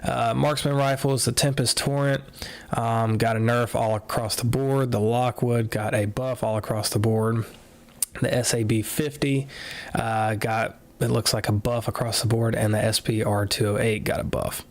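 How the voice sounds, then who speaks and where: A man casually walking through game patch notes live, a little nasal after a cold, talking in a relaxed way with lots of fillers. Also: medium pitch, normal speed, no echo. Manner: relaxed, filler-heavy, offhand commentary. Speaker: gaming content creator.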